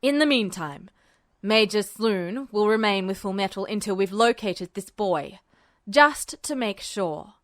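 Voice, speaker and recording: Lady doing a bossy army officer guy, low and gruff, curt orders, dry close recording. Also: expressive, measured pace, a bit bright, no echo. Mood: commanding. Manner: curt and commanding. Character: stern, domineering male military superior officer.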